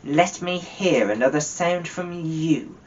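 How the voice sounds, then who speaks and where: A man's voice: a little high, slow pace, a little hiss or hum, voice pushed up. A man voicing a scornful, grumpy old miserly uncle in a storybook reading, stern, indignant and growling. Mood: stern, sarcastic. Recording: distant, dull lo-fi microphone.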